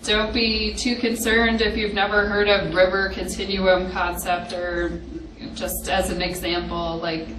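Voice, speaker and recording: A young woman with a quiet voice giving an informal study-session lecture, hesitant with frequent ums. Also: medium pitch, little pitch movement, a little slow, hardly any pauses. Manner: hesitant, many ums, laughs softly. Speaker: presenter on aquatic ecology. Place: classroom with hard walls. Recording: echoey classroom from far off, background hiss.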